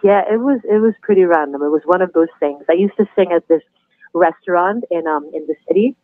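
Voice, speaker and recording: A middle-aged woman with a Trinidadian accent, chatting warmly and laughing easily as a guest on a podcast interview. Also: on the low side, brisk, no echo. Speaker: guest, anthem singer. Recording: tinny phone line, dry.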